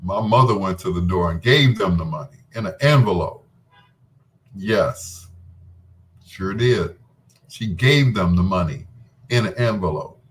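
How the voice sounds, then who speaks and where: A middle-aged man talks to his radio listeners in a fairly low voice. He is very unhurried and laid-back, with a folksy, teasing way of chatting, as a late-night host would. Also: animated.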